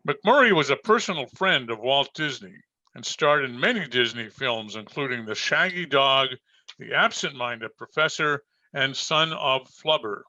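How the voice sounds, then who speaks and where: Bright, forceful male radio announcer. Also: mid-range voice, expressive, unhurried, dry recording. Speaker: announcer and narrator. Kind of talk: scripted old-time radio narration. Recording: dull, narrow video-call audio.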